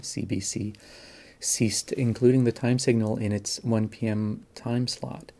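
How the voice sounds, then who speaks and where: A man's voice: fairly low pitch, vocal fry, dark tone. A man narrating his own recordings in an informal, explanatory way.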